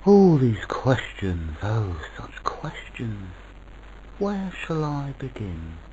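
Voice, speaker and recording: A storyteller voices a mysterious, motherly storybook spirit, gentle and quietly amused. It muses slowly and patiently in a soothing hush, with expressive rises and falls, on a slightly dull recording. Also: mid-range voice, slight background noise. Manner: patient, hushed, soothing, musing.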